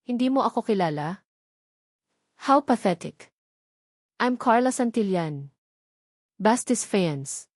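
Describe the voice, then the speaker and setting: A woman's voice: mid-range voice, animated, slow, pauses often, dry recording, clean studio sound. Woman voicing a haughty, cutting rich fiancée. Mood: condescending. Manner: condescending, cutting. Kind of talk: audio drama villain dialogue.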